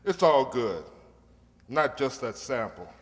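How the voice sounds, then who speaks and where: A man's voice: mid-range voice, sing-song, slow pace. A man playing a cocky drug dealer with a persuasive, chummy manner in an old-time radio crime drama. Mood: businesslike. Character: smooth-talking male drug dealer, placating and cocky. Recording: echoey hall.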